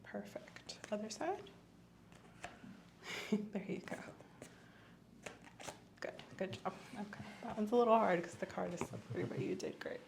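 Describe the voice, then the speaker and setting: Woman, ASMR host as gentle clinician, hushed and whispery on a close mic, faint ambient hiss. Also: mid-range voice, wildly swooping pitch, a little slow, gravelly, pauses often. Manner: soft-spoken, gentle, often whispering. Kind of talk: ASMR roleplay.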